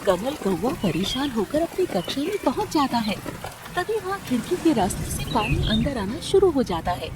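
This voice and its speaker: A woman's voice: fairly high pitch, sing-song, normal speed, noisy background. A woman narrating a scripted cartoon story as its storyteller. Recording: music behind the voice.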